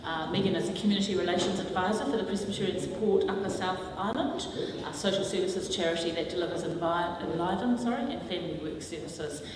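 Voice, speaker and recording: A woman with a New Zealand accent introducing conference speakers in a measured, slightly halting way. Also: lowish voice, background noise. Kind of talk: formal introduction. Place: large hall. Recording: echoey hall from far off.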